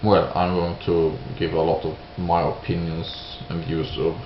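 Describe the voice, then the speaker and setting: A man from Sweden with a Swedish accent, giving an unscripted opinion monologue in halting English, hesitant and searching for words. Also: low-pitched, flat delivery. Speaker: video creator giving opinions. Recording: roomy, dull lo-fi sound with hiss.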